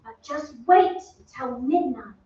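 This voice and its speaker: A woman playing a very high-voiced melodramatic noblewoman. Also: expressive, measured pace. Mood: offended warning. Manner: breathless, dramatic storytelling. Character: melodramatic young noblewoman of an English haunted castle. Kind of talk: old-time radio play acting. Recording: echoey stage, distant mic, muffled, faint noise.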